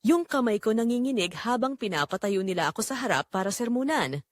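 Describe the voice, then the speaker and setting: Female narrator for romance audio drama: intimate, suspenseful phrasing, lively swings in pitch, dry recording. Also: fairly quick, no echo.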